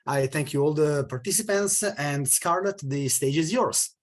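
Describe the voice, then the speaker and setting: A moderator introducing a guest speaker in a hesitant way, with many pauses and fillers. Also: mid-range voice.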